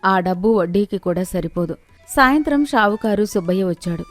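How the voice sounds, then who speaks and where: Casting: female narrator for animated moral stories, mid-pitched, scripted storytelling over music bed. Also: brisk.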